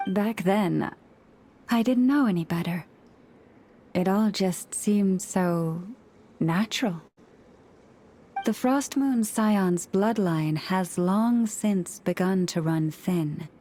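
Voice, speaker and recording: Game cutscene role: actress as a gentle antlered moon maiden, solemn and formal, very unhurried delivery, mid-pitched voice. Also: female voice, a little hiss or hum, natural voice. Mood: solemn, reflective. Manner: formal, serene, measured storytelling. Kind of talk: scripted video game cutscene acting.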